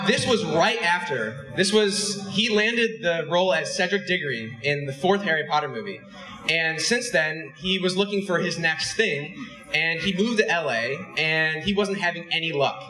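A young man telling a self-mocking story on stage to a live crowd, holding a handheld mic. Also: on the high side. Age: young adult. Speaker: company head and co-host. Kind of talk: stage anecdote to a live audience. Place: large dark stage in a hall. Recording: echoey hall.

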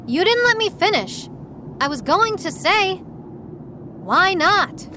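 High-voiced, cocky demon hunter. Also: female voice, sing-song, slow, noisy background, exaggerated voice. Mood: scornful. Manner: scornful, brash, fearless. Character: cocky, defiant young female streamer who hunts demons. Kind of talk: audio drama acting.

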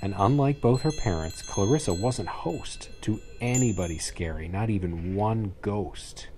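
A man's voice: lowish voice, measured pace, a little mellow, faint music behind. A man reading a rhyming children's story aloud with theatrical, dramatic phrasing. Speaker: storybook reader. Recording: clearly noisy added ambient sound effects. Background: sound effects, ambience, animal sounds.

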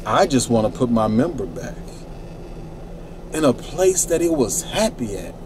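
A man's voice: mid-range voice, very animated, a little slow, background noise. A middle-aged man reciting his own rhyming spoken word poetry in a laid-back, rhythmic way from inside a parked minivan at night.

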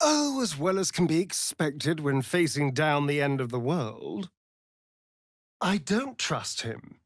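A man's voice: fairly high pitch, sing-song, slow pace, dry recording, studio quality, full character voice. A man with a British accent voicing a vain, sardonic, seductive vampire rogue in a fantasy video game, with an arch, flamboyant, mocking manner. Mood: earnest, guarded.

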